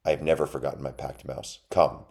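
A man's voice: a bass voice, steady tone, fairly quick, vocal fry, a little mellow. A man voicing a noble, humble male turtle knight with a formal, chivalrous way of speaking. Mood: resolute.

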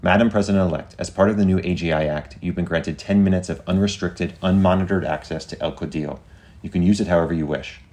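A man's voice: low-pitched, fast talker, a little mellow, natural voice. A man playing an earnest, deferential young Secret Service inspector with a formal, polite, urgent manner in a story.

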